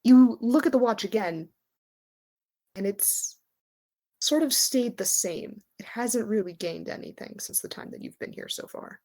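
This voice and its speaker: A woman narrating as game master of a tabletop roleplaying game, improvising descriptions in a measured, conversational way with pauses. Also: a little high, animated, a little mellow.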